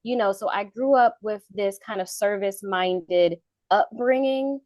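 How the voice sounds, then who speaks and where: A woman in her thirties from North Carolina, a guest telling her career story in a relaxed interview with many fillers. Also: medium pitch, a little slow, no echo. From Charlotte, North Carolina. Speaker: guest, government contracting consultant. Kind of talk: casual interview talk.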